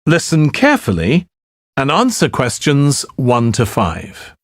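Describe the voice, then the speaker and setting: A man reads scripted exam instructions formally and deliberately. His medium-pitched voice moves at a measured pace. The sound is dry, with no room echo. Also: wildly swooping pitch. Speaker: exam announcer.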